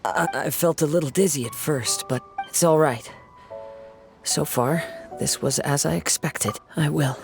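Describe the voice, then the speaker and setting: Calm, composed young swordsman. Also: fairly high pitch, gravelly, over music. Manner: measured, formal, composed. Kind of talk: video game voice acting.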